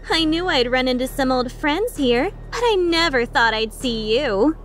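A woman's voice: high-pitched, expressive, slow pace, a bit bright, a little hiss or hum, heavily acted. A woman voicing a cocky female mercenary leader in a video game fan dub, playful, sarcastic and taunting with an edge of menace. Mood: dismissive, amused.

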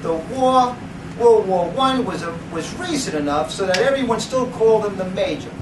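A man's voice: high voice, animated, normal speed. Theatrical man in a noisy, echoey room. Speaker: presenter reading at a book event. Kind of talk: dramatic reading and speech to a live audience. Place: indoor library event room. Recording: roomy, noisy, distant camera microphone.

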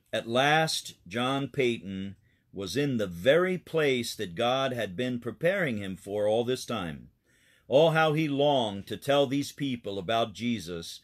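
A man narrating a children's story in an expressive, dramatic storytelling manner. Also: on the low side. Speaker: pastor reading a children's story.

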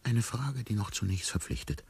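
An actor playing a bewildered amnesiac man in a radio play, musing inwardly in a hushed, wryly detached way. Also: lowish voice, lively intonation, normal speed, creaky, slightly rough, full character voice. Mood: wry unease. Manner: hushed, musing, often near whispering. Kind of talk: radio play inner monologue.

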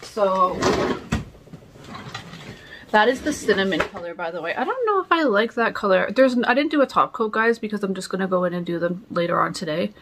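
A woman's voice: medium pitch, animated, brisk, a bit bright. Chatty woman vlogger thinking aloud, noisy recording. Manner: rambling, thinking aloud, self-questioning. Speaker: nail vlogger. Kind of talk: casual chatty vlog. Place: home nail studio room. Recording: clear background noise with clicks.

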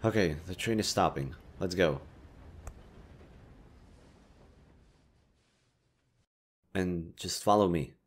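A man's voice: low-pitched, moderate pace. A man acting a kind, teasing young stranger who guides a lost girl, speaking casually to the listener in an intimate roleplay audio drama. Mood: gentle, guiding. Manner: casual, playfully teasing, mildly awkward, swearing.

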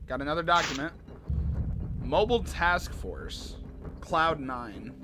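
Young man, twenties, hoarse, loud sweary gaming commentary, high-pitched. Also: sing-song, slow pace, background noise. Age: 20s. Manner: swears often, reacts loudly, jokey. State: hoarse from sore throat. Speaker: gaming YouTuber. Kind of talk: casual let's play commentary. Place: small bedroom. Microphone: desk mic, webcam in corner.

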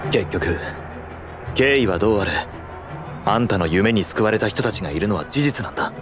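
A man's voice: on the low side, expressive, normal speed, over music, natural voice. A man playing a sincere young hero in a Japanese video game, with an earnest, firm, plain-spoken delivery.

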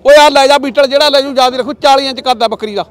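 An older man with a Punjabi accent, a goat seller bargaining loudly at an outdoor market, pushy and talkative. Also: very high-pitched, fairly even intonation, fast talker. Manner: loud, pushy seller's patter, calls people "shera". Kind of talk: casual bargaining chat.

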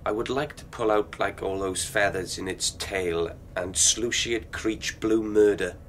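A man's voice: lowish voice, a little slow, fairly bright tone, a little hiss or hum. A man acting a cocky, violent young delinquent in a radio drama, with insolent, theatrical delivery. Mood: gleeful menace. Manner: insolent, theatrical slang-laden talk. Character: cocky, violent young male delinquent narrator, gleefully savage.

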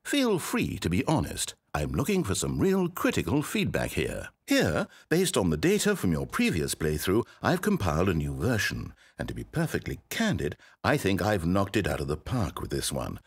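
A sardonic game narrator, fussy and controlling, needling the player with dry mock patience. His lowish voice swoops widely, dipping deep and then leaping up in exasperation. The delivery is polished and theatrical, like a storyteller who thinks he is in charge. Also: wildly swooping pitch, moderate pace, few pauses, slightly rough, full character voice. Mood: wry. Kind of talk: scripted video game narration.

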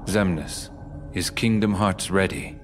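A man's voice: deep voice, takes it slowly, music in the background. A man voicing a brooding, impatient villainous henchman in a video game. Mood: impatient, restrained. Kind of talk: video game cutscene acting.

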